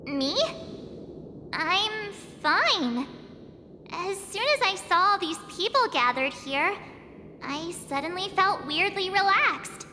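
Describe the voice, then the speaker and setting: Super high bouncy voice, a cheeky young swordswoman, reverby with game music under it. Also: lively intonation, takes it slowly, sharp tone, a little hiss or hum, full character voice. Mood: relaxed. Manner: chirpy, playful and self-assured. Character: perky young female swordswoman, cheeky and upbeat. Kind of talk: game cutscene dialogue.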